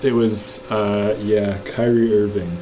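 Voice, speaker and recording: A man's voice: fairly low pitch, little pitch movement, takes it slowly, a little mellow. A young man co-hosting a college radio show with dry, teasing humor. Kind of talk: casual joking chat. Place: small college radio studio. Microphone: close studio mic. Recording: background noise.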